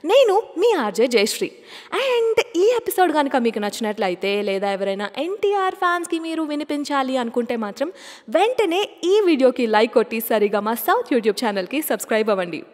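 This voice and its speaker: A woman presents a radio show in a chatty, expressive way, her voice sitting a little high. A long reverb trails behind each phrase, as if she were heard in a large space. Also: moderate pace.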